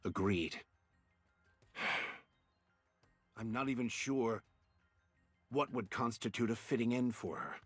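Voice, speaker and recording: Game role, idealistic male commander; rough-edged husky tone, solemn measured delivery, faint music behind. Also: medium pitch, a bit bright, dry recording. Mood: somber. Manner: measured, grave. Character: weary, idealistic male military commander, quietly determined. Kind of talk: scripted game voice acting.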